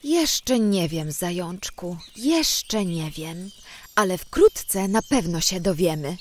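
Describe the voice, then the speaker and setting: A woman's voice: on the low side, slow pace. A woman voicing a kind, reassuring fairy in a children's audio drama. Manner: lively, reassuring. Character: kind female fairy, storyteller.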